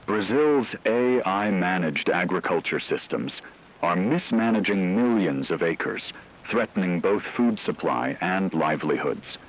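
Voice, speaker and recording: A man voicing a grave radio news anchor, reading a scripted emergency broadcast in a formal, measured way. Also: sits low, expressive, low-quality recording. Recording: radio-style broadcast with faint hiss, rain-like noise.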